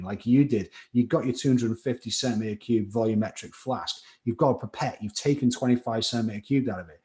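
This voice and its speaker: Chatty Scouse chemistry tutor. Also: male voice, mid-range voice, darkish tone. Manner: chatty, encouraging, reads out chat names. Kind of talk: live online class teaching.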